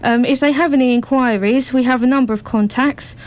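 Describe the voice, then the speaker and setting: A fairly high-pitched woman's voice. She gives event details and contact information as an amateur group member being interviewed on community radio. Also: brisk, darkish tone.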